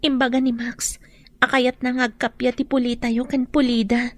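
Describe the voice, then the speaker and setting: Woman playing a high-pitched, hesitant young daughter. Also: flat delivery, normal speed, soft background music, close to an everyday voice. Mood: worried. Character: shy, worried young female student, dutiful daughter. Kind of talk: radio drama acting.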